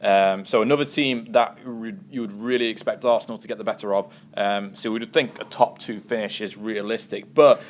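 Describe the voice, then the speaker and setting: A man hosting a casual sports preview show, chatting with a co-host and often pausing with ums and uhs. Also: fairly low pitch, steady tone.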